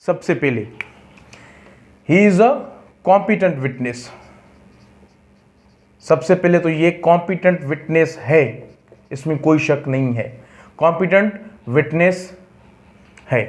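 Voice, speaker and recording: A man with a Pakistani accent giving an explanatory law lecture, deliberate and repetitive, halting when reading out in English. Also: high-pitched, darkish tone. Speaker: law teacher. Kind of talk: explanatory lecture mixing Hindi-Urdu and English.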